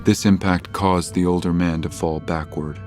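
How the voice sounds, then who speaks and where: Male narrator, low-pitched voice, scripted horror storytelling, audio drama, music bed. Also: fairly even intonation, normal speed.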